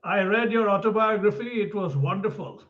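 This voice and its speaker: A man's voice: high-pitched, normal speed, a bit bright. A man interviewing, mostly giving brief attentive affirmations and occasional remarks. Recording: dull distant remote audio.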